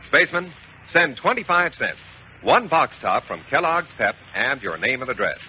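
A muffled old radio recording with faint noise features an American male announcer. He pitches to children with emphatic, animated salesmanship in 1950s style. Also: moderate pace. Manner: emphatic, repetitive salesman delivery.

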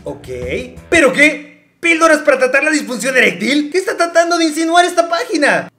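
A young man talking in a fairly high voice, joking and sarcastic as he chats to his viewers. Faint music plays under his voice in a small bedroom. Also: a little fast. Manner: sarcastic, playful, animated. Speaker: YouTuber. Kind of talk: casual vlog narration. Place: small bedroom with gaming chair.

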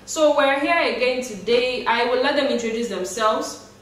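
A woman's voice: high-pitched, normal speed, a little hiss or hum. A young woman hosting a casual couch discussion, chatty and asking questions. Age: young adult. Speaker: host. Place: living room, seated on a couch. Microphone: far camera. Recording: roomy echo from a distant mic.

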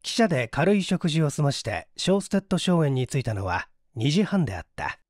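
A man's voice: a little high, sing-song, a little fast, no echo, clean studio sound. A man reading a mystery story as scripted narration, with a dramatic manner that acts out the dialogue. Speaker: audiobook reader, stage actor.

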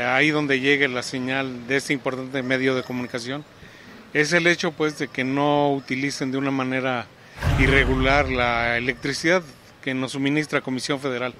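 Mexican man, halting street interview. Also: mid-range voice, bright, forceful tone, slight background noise. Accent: Mexican Spanish. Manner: halting, many fillers and restarts. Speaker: municipal energy-saving director. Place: outdoors on a town street. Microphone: handheld reporter mic close up.

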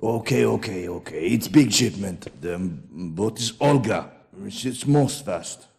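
An actor voicing a frightened informant in a video game, halting, in broken English. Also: male voice, on the low side, animated, creaky, a little mellow, exaggerated voice. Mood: scared, yielding. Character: frightened male informant giving in. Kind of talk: cinematic video game acting.

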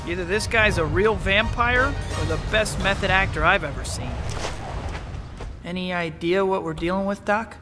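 A man's voice: high voice, moderate pace, music in the background, natural voice. An actor playing a cocky, determined young male superhero in a video game, with a quippy, sardonic way of talking. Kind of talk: video game cutscene acting.

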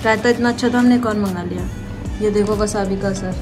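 A woman's voice: mid-range voice, fairly even intonation, brisk. A young woman from the hills, chatting casually as a travel vlogger and teasing her companions. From Uttarakhand hills. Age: young adult. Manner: chatty, teasing, recommends places to viewers. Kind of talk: casual travel vlog chat. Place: outdoors in hills and a roadside eatery. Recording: music playing under voice.

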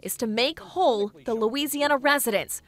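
A woman in her thirties narrating an investigative local news report in a measured, clear delivery. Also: high-pitched.

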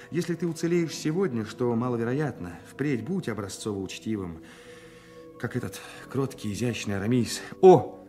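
Radio play: impetuous young provincial swordsman male actor, theatrical, self-mocking, swinging pitch over music. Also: close to an everyday voice. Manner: excitable, theatrical, muttering to himself.

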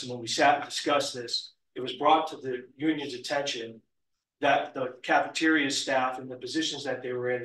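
Hoarse man addressing a board, echoey. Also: mid-range voice, fairly bright tone, distant mic, slight background noise. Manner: formal, explanatory. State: hoarse from a cold. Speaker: union representative. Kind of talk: public comment at a school board meeting. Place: meeting room, standing at a wooden podium. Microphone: podium mic, heard from a far camera. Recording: echoey room, voice heard from afar.

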